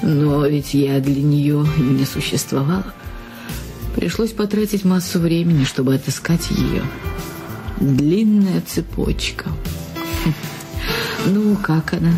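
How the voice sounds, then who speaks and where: A woman's voice: low-pitched, slow pace, long pauses. A woman acting a polite but insistent, wounded sister-in-law in a radio play. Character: Thérèse, persistent, wounded sister-in-law paying a visit. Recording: music bed under the voice.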